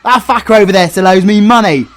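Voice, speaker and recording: A British woman voicing a cocky, foul-mouthed male villain in a comic audio drama, crude, sarcastic and excitable. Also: mid-range voice, fast, natural voice. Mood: excitable, mocking.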